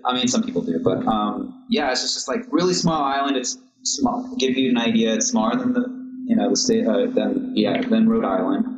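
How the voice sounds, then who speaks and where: A young man from Singapore, a guest composer in a casual podcast interview, easygoing and quick to laugh. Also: on the low side. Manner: easygoing, laughs often, rambling with restarts. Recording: echoey room, dull lo-fi sound, audible noise.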